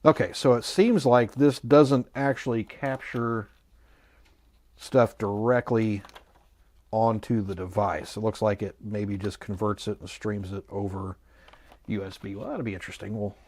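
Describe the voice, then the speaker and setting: A man's voice: fairly low pitch, steady tone, unhurried, a little mellow. A man giving a casual, unscripted product review, thinking aloud in a musing, hesitant and mildly skeptical way. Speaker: host of an electronics review channel.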